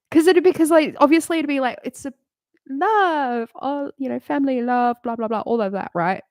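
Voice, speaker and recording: A woman's voice: on the high side, dry recording, studio quality. A woman in her late thirties chatting casually on a live stream, rambling and candid, swearing freely and laughing often. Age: late 30s.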